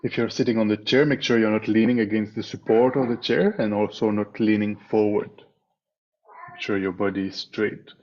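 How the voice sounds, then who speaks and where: A man leading a guided meditation as a teacher, speaking in a calm, measured way with long pauses between phrases. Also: on the low side. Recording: dull, muffled online meeting audio.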